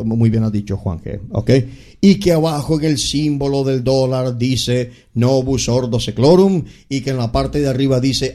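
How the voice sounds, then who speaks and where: A man's voice: medium pitch, mellow. A man with a Latin American Spanish accent, speaking as a guest expert on a talk show, lecturing emphatically and often repeating words as he restarts.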